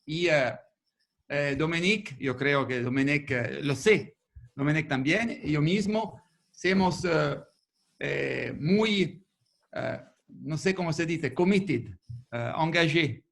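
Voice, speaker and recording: Halting man. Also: fairly high pitch, creaky, a bit bright. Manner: halting, searching for words, many restarts. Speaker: federalist speaker. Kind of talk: webinar talk. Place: home video call. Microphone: video call.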